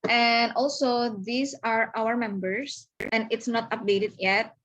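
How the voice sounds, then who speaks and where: A woman's voice: on the high side, steady tone, slow pace, a bit bright, lo-fi sound. A woman, earnestly walking listeners through slides in an online club presentation over a video call. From Indonesia. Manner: earnest, welcoming, talks through slides step by step.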